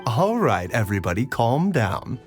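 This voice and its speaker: Man as composed gentleman pharmacist, soft-spoken, polite, very varied intonation, game dialogue, light music behind. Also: medium pitch, big pitch swings, moderate pace, natural voice. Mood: gently placating. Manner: polite, formal, placating. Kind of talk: video game voice acting.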